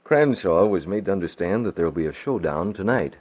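An actor playing a confident young publisher and secret crime-fighter, calm and commanding, in old-time radio drama style. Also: male voice, low-pitched, brisk, breathy edge. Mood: calm, determined. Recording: muffled old radio broadcast.

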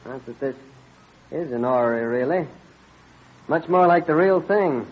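An earnest colonial clockmaker in a vintage radio play. His voice sits a bit high and slightly husky, eagerly explaining with small hesitations. The recording is dull and dated. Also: expressive, measured pace. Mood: absorbed, eager. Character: earnest, absorbed colonial clockmaker and astronomer husband. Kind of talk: old-time radio drama acting.

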